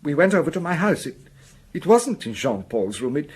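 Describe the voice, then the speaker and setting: An actor playing an anxious, defensive village schoolmaster in a radio drama, earnest and hesitant, stumbling over repeated words. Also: male voice, on the high side, sing-song, normal speed, a little mellow, breathy edge. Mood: nervous, defensive.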